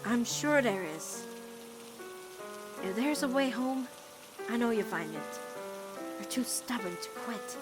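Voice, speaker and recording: A woman voicing a caring, steadfast teenage witch girl in an animated-style comic dub, reasoning firmly and tenderly. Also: on the high side, slow pace, long pauses, music in the background.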